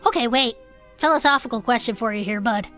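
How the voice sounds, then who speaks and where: A woman playing an eager, chatty turtle-folk podcast host in a comedic audio drama, talking in an informal, halting way. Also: a little high, fairly bright tone, faint music behind, natural voice. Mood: eager theorizing. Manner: informal, halting, checks in often. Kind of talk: conversational comedic audio fiction.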